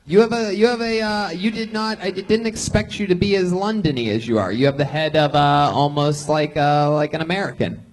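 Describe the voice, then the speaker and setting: A man in his thirties hosting a live comedy show, teasing his guest with quick mock insults. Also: a little high. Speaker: comedy podcast host. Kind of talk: live comedy roast interview. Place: comedy club stage before a live audience.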